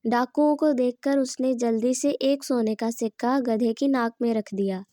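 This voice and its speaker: A woman's voice: on the high side, steady tone, normal speed, dark tone, no room sound, clean studio sound. A woman reading scripted narration for a children's story.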